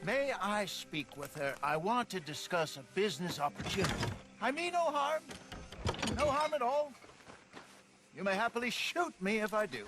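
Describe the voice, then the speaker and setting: Smooth western schemer in a game, american, fake courteous, high-ish voice, music in the back. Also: male voice, lively intonation, normal speed. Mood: mock-courteous. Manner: theatrical, mock-courteous patter. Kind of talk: video game cutscene acting.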